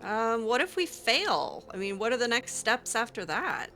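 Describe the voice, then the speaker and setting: A woman's voice: a little high, big pitch swings, moderate pace, bright, forceful tone, faint music behind. A woman improvising in character during a game playthrough, talking casually and hesitantly with dry sarcasm. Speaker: gaming host role-playing her character.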